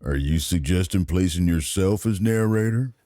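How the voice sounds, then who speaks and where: A man playing a big booming announcer, a formal and portentous storyteller narrating a Western audio drama with gravel in the voice. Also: moderate pace, warm tone, no echo, clean studio sound, lightly acted. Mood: affronted, formal.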